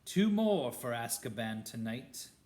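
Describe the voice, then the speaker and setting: A man's voice: mid-range voice, very animated, measured pace, a little mellow. A man voicing a cold, spiteful male wizard professor who talks in a silky, sneering way. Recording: roomy echo.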